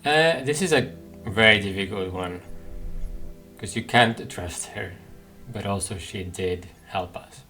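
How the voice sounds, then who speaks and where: A man's voice: lowish voice, unhurried, pushed and bright. A man giving casual playthrough commentary, thinking aloud hesitantly as he muses over his choices. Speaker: gaming video host. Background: game music.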